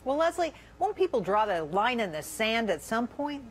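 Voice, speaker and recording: A woman's voice: on the high side, very animated, normal speed, slight background noise. An American woman hosting a television news panel in a conversational broadcast interviewing style.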